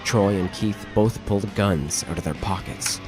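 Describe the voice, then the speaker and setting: A man's voice: fairly low pitch, normal speed, over music. A man narrating a scripted horror story.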